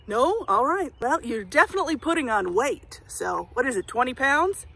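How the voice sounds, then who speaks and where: A woman's voice: high-pitched, very animated, normal speed, creaky, sharp tone, breathy edge, full character voice. A woman playing a judgmental, nosy suburban neighbor in a comedy monologue, blunt and condescending. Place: outdoors in a residential front yard.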